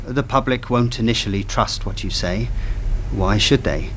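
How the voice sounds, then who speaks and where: A cold, calculating male spy handler in a radio drama, played by an actor. He is sardonic and measured, with a lowish voice over a slightly noisy background. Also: fairly bright tone, lightly acted. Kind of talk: radio play dialogue.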